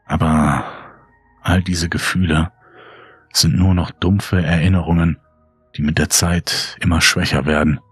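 A man reading a horror story as scripted dramatic audiobook narration, grave, measured and brooding. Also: a bass voice, steady tone, breathy edge, no room sound. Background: faint music.

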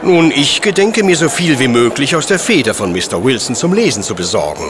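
Schauspieler als pedantischer Professor, lebhafte Satzmelodie. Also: male voice, mid-range voice, big pitch swings, brisk, music in the background, close to an everyday voice. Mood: brisk, pleased. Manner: formal, precise, dry. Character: dry, pedantic, self-assured gentleman professor detective. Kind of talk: German audio drama dialogue.

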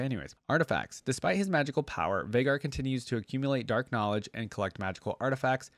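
A man's voice: medium pitch, no echo. A man hosting a reaction video, reading aloud and breaking off for casual, exclaiming asides.

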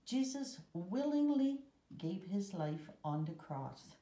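A woman reading a children's Bible picture book aloud with measured, deliberate phrasing and pauses, recorded indoors. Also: medium pitch, big pitch swings, mellow, roomy sound. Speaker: storybook reader.

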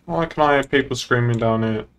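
A man's voice: mid-range voice, brisk, fairly bright tone. Easygoing guy streaming game roleplay, mid-range voice, kidding around. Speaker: streamer playing a police boss in an online roleplay game. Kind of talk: casual in-game roleplay chat.

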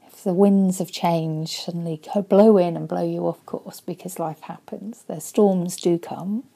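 A woman in her forties, a life coach, speaking earnestly and softly into a webcam in her living room, often dropping to a breathy near-whisper. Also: fairly low pitch, steady tone, a little slow. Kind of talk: motivational vlog talk.

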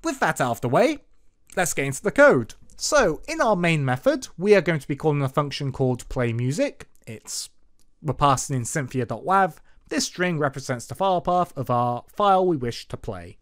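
A man's voice: a little high, lively intonation, moderate pace. A man hosting an informal programming tutorial as a voice-over, playful and lightly sarcastic in manner. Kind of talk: informal screen-recorded tutorial narration. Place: voice-over for screen recording.

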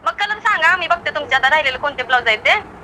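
Woman tailor, western Maharashtrian accent, rapid insistent speech, sharp tone, phone call, faint street noise. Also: high-pitched, expressive. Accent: western Maharashtrian Marathi. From Karad area, Maharashtra, India. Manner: insistent, argumentative. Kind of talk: phone conversation.

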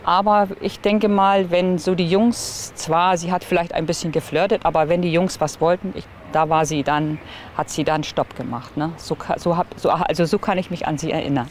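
An older woman, a former care worker, recalling a girl in an outdoor interview with halting restarts and tag questions. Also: lowish voice, fairly even intonation, a little hiss or hum. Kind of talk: recorded interview. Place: outdoors in a courtyard.

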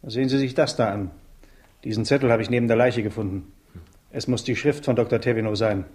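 An actor playing an earnest, cautious investigator with an instructing manner in a 1960s German radio drama. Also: male voice, medium pitch, brisk, darkish tone, natural voice. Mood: urgent. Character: earnest, cautious man leading a crime investigation.

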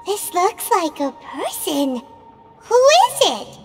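A woman's voice: sing-song, takes it slowly, in a large hall, faint music behind, full character voice. A woman voicing a tiny, childlike fairy companion in a video game, chirpy, curious and exclamatory. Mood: curious, surprised. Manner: squeaky, exclamatory, wide-eyed. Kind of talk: scripted video game dialogue.

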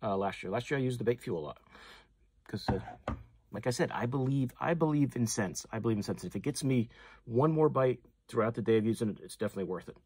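A man's voice: medium pitch, lively intonation, fast, a little mellow, breathy edge, no room sound. A man, hosting a fishing channel and chatting casually through a hands-on demonstration, full of fillers and restarts. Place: tackle shop or room with walls of packaged lures, indoors.

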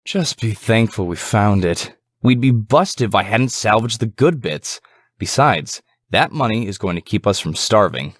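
A man's voice: on the low side, lively intonation, measured pace, no room sound, studio quality. A man voicing a laid-back, teasing young slacker in a visual novel, easygoing and wry. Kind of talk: visual novel character voice acting.

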